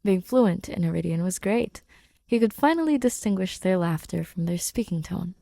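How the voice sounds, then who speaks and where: A woman's voice: on the low side, lively intonation, moderate pace, creaky, warm tone, no room sound, clean studio sound. A woman reading fan fiction aloud as a podfic narrator, in scripted storytelling style.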